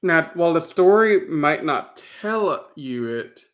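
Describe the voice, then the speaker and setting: A man's voice: fairly high pitch, expressive, slow, lo-fi sound. A man co-hosting a podcast, chatting casually as a fan. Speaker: podcast co-host.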